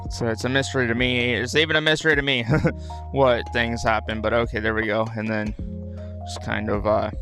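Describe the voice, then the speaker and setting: A man's voice: mid-range voice, flat delivery, a little fast, music in the background. A man with a dry, just-woken throat gives casual game commentary, rambling and repeating his phrases. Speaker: gaming video host.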